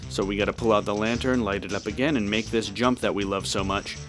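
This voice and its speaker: A man's voice: on the low side, steady tone, quick pace. A man giving casual walkthrough commentary on a video game. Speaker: gameplay walkthrough host. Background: game music.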